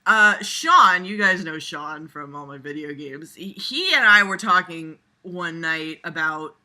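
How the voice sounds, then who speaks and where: Sarcastic lady doing a mocking read-along, super bright sharp voice, kinda exasperated, swears a lot. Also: on the low side. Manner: sarcastic, exasperated, foul-mouthed. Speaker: amateur reader and commentator with a regular audience. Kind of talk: casual mocking read-aloud with running commentary.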